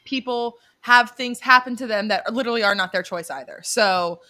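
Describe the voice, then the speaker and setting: A woman's voice: fairly high pitch, moderate pace, bright, forceful tone. A young woman co-hosting a podcast, chatting casually and animatedly, laughing often and swearing now and then. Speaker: podcast co-host. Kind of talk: casual chat.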